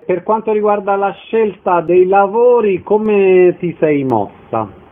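A man's voice: sits high, animated, takes it slowly. A man hosting a radio interview, delivering prepared introductions and questions. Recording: narrow phone-like radio sound.

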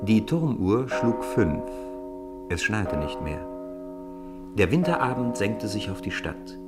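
A man with a deep voice narrates a radio play. His delivery is measured, the way a storybook is read aloud. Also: very animated, loud music behind the voice.

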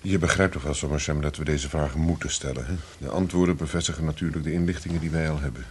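An actor in a radio play as a hesitant, deferential male deputy interviewer, husky, halting and awkwardly polite. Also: rumbling low, fairly even intonation, a little hiss or hum. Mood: apologetic. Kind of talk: radio drama acting.

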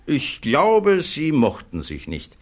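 An actor playing a thoughtful physicist giving measured, earnest testimony in a German radio play. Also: male voice, fairly high pitch, wildly swooping pitch, full character voice. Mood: candid, assured. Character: thoughtful male physicist witness, earnest, troubled by conscience.

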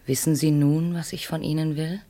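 An actress playing a commanding, fatalistic seductress in a solemn 1960s German radio play, speaking with deliberate, incantatory phrasing. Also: female voice, low-pitched, little pitch movement, dark tone. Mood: seductive, commanding. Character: commanding, fatalistic seductress demanding her husband's death.

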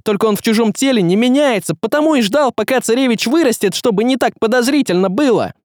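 A man voicing a frantic, outspoken young man in a storybook, shouting in blunt, slangy speech. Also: very high voice, expressive, fast talker, dry recording, clean studio sound, voice pushed up. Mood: frantic, indignant.

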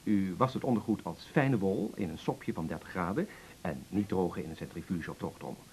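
A man's voice: low voice, expressive, fairly quick, a little mellow, a little hiss or hum, poor audio. An actor playing a slick yet flustered door-to-door salesman in a radio play, with hesitant sales patter full of jargon. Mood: persuasive.

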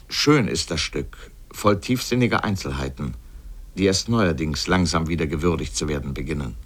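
An actor playing an erudite, opinionated literary critic who delivers a scripted radio essay with emphasis. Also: male voice, sits low, heavily acted. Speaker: essayist speaker in a radio feature.

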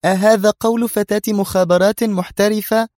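A man voicing a nervy, scornful young woman intelligence agent in an audio drama, with a sharp, sarcastic manner. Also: sits high, a little fast, dry recording, studio quality, voice pushed up, close to an everyday voice. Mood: irritated, sarcastic.

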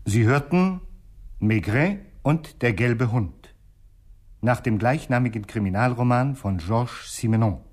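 A man's voice: mid-range voice, animated, measured pace, darkish tone. A man reading closing credits as a formal radio announcer in 1960s style.

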